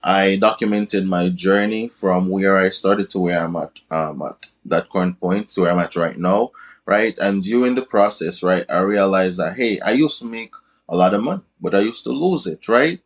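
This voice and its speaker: A man's voice: low-pitched, normal speed. A young man speaking as a podcast guest in a casual interview, often tagging his sentences with "right?". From Jamaica. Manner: tags many sentences with "right?". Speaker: guest, crypto and business coach.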